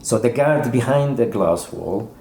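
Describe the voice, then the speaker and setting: A male author gives a thoughtful interview in a soft-edged, mellow voice with plenty of gravel in it. He feels his way through each explanation, doubling back and repeating words. There is faint noise behind him. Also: mid-range voice, expressive, a little slow. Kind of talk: thoughtful literary interview talk.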